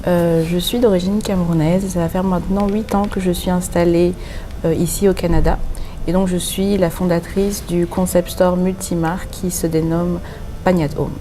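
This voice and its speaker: Mellow-voiced French woman, hesitant. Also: lowish voice, level tone, normal speed, a little hiss or hum. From France. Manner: earnest, explains at length with many hesitations. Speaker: designer and boutique founder. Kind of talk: interview answers. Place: pop-up boutique.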